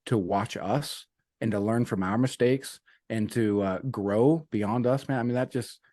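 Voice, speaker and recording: Low-voiced earnest male coach, dry sound. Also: a little slow, dark tone, no room sound. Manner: earnest, repeats key sayings, uses "man" and "you know". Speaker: business and life coach guest. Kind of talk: casual interview talk.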